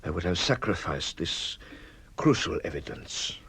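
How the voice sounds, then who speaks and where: Husky, expressive detective. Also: male voice, sits low, exaggerated voice. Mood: gentle, apologetic. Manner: formal, theatrical, measured explaining. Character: shrewd, courteous French police detective. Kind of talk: radio drama acting.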